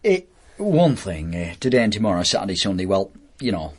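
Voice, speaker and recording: A man's voice: low-pitched, sing-song, fairly quick. An actor in a radio drama playing a sleazy, chummy escort agency boss, with wheedling, rambling salesman patter. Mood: stoned, chummy.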